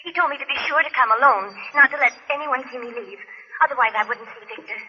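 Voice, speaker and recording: A secretive, anxious young woman from an old radio mystery. Her voice is high, husky and full of breath. The sound is muffled and dated. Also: fast, pushed and bright, heavily acted. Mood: hushed, anxious. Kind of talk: vintage radio drama acting. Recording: dull old radio recording.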